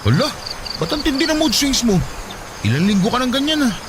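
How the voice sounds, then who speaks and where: A man's voice: extremely high pitch, very animated, a little fast, noisy background. A man acting an exasperated but loving, teasing young fiancé in a radio drama. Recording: music over the voice. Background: music.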